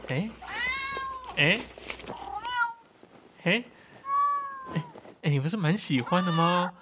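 A man's voice: high-pitched, lively intonation, slow, noisy background. A man voicing an easygoing young man who talks in a playful, teasing way.

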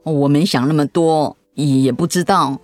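An actress playing a gentle, hesitant middle-aged mother in a radio drama. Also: low voice, a little slow, no echo, soft background music. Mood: gentle, reassuring. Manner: gentle, hesitant, conversational with soft fillers.

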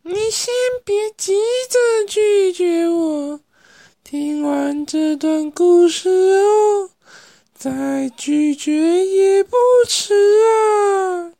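Very slow, plaintive ghost princess. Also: female voice, extremely high pitch, gravelly, warm tone, dry recording, exaggerated voice. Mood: coaxing, plaintive. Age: teenager. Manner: drawn-out, eerie, tearful delivery. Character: plaintive centuries-old ghost princess, young and pleading.

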